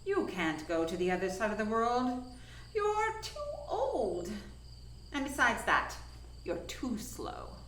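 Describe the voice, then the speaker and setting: A woman's voice: a little high, very animated, takes it slowly, fairly bright tone, far from the mic, exaggerated voice. A woman voicing a blunt male wolf in a storybook, emphatic and exclaiming. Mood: dismayed, doubting. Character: loud, blunt male wolf leading the animals. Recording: echoey room with noise behind.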